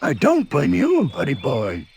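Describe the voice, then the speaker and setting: Brash, husky, bouncy tiger. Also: male voice, medium pitch, huge pitch swings, unhurried, a little mellow, full character voice. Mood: chummy, brash. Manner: emphatic, chummy, boisterous. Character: bouncy, boastful, brash male toy tiger. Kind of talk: children's storybook read-aloud.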